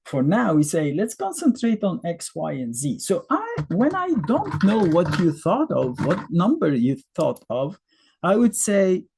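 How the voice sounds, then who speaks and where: A man's voice: sits high, sing-song, unhurried, gravelly, a little mellow, a little hiss or hum. A man in his fifties from Egypt, a native Arabic speaker with an Egyptian accent, teaching a beginner math lesson in a casual, conversational way with rhetorical questions and fillers.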